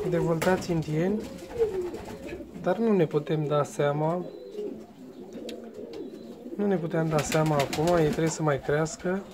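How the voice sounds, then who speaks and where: Hesitant vlogger over noisy aviary sounds. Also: mid-range voice. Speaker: pigeon breeder hosting a vlog. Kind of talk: casual show-and-tell vlog narration. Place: pigeon aviary. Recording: noisy, cooing pigeons around. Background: birds cooing, clear ambient noise.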